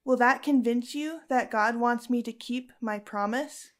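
A woman's voice: a little high, measured pace, close to an everyday voice. An actress playing a devout, resolute young Roman noblewoman in an audio drama, speaking earnestly and firmly. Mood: solemn, firm. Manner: earnest, firm.

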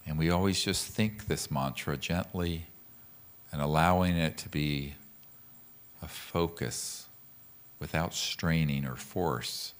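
Super deep voiced guy guiding meditation, really slow n calm, gentle, leaves pauses. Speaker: meditation teacher. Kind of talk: guided meditation with mantra instruction.

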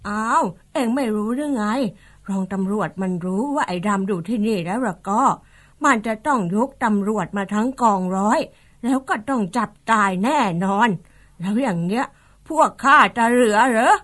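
A woman playing an anxious, sharp-tongued older village mother who scolds roughly in a radio-style drama. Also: medium pitch, expressive, normal speed. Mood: scolding. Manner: scolding, rough rural speech.

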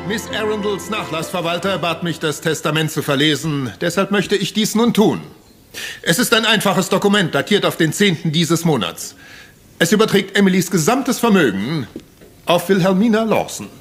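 A testy English gentleman doctor in a retro crime radio play. His voice is slightly high with wide swings in pitch. He is formal, dry and condescending, with soft music behind him. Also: lively intonation, moderate pace. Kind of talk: retro German detective radio play acting.

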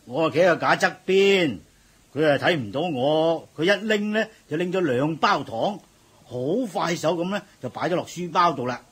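A bossy shop manager, kinda husky, higher voice, blustery radio play. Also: male voice, animated, normal speed, exaggerated voice. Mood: explaining. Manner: loud, bossy, scolding. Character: blustering middle-aged supermarket manager. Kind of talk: 1980s radio drama acting.

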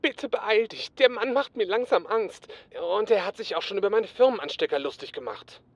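A man's voice: sits high, animated, moderate pace, no echo, lo-fi sound. A man playing an anxious, fussy detective's assistant in a comedic audio drama, nervous and stammering. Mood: worried.

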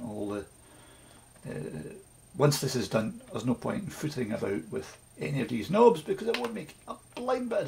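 An older man, slowly explaining home recording steps in a small home studio. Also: medium pitch, gravelly, darkish tone, a little hiss or hum. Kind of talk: casual tutorial.

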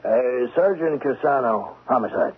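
An actor playing a polite, plodding homicide sergeant who questions haltingly in a radio drama. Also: male voice, mid-range voice, lively intonation, slightly rough. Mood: polite, probing. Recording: tinny, noisy old radio recording.

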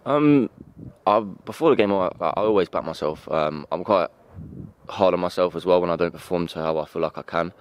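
A young man, a footballer giving an earnest, halting post-match interview at a pitch outdoors at night, speaking into a handheld mic. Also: clean tone. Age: young adult.

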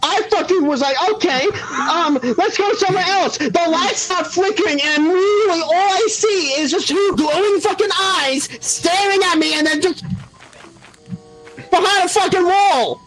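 A man with a strikingly high-pitched voice talks casually in a multiplayer game. His speech is peppered with heavy swearing. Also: moderate pace, music in the background, poor audio. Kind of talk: casual multiplayer gaming chat.

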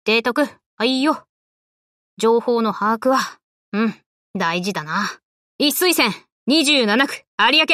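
Bright, brash tomboy warship girl. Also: high voice, no echo, clean studio sound, heavily acted. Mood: casual, friendly. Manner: rough, boyish, casual speech. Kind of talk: Japanese anime game character voice lines.

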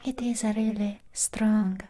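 A young woman voicing a sweet, clingy android doll nurse in an ASMR roleplay, soft and whispery with halting phrases. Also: medium pitch, fairly even intonation, background noise, lightly acted. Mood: tender, possessive.

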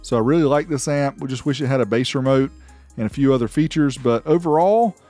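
A man's voice: mid-range voice, a little fast, mellow, dry recording, soft background music. A man giving a casual, informal product review voice-over as the host, with a folksy way of talking. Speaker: host reviewing car audio amplifiers.

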